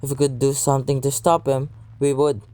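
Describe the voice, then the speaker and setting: A woman's voice: rumbling low, level tone, a little fast, mellow. A woman acting as an unconcerned police desk officer in a radio play, matter-of-fact and dismissive.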